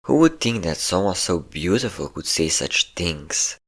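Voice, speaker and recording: Man acting as ancient male vampire, low-pitched voice, flirtatious, taunting, menacing, intimate audio roleplay. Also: sing-song, fairly bright tone, husky, full character voice. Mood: teasing, flirtatious. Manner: taunting, flirtatious, slow endearments.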